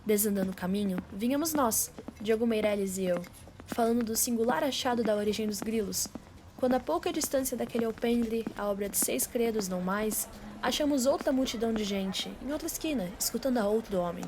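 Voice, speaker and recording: A woman's voice: on the high side, a little fast. A young Brazilian woman reading scripted, old-fashioned literary narration for an amateur radio drama. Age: teenager or young adult. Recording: faint background noise.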